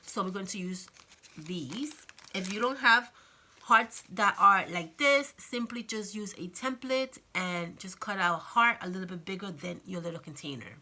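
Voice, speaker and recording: A woman hosting a casual crafting tutorial, chatty and friendly, her voice slightly raspy from a cold. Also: mid-range voice, moderate pace, bright, forceful tone. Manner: chatty, friendly, many fillers and "okay?" check-ins. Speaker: craft channel host.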